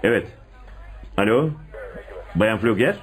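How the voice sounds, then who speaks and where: Radio drama inspector: very low pitch, lively intonation, methodical and composed. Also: male voice, slow pace. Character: calm, methodical male police inspector on a missing-person case. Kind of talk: Turkish radio theatre acting.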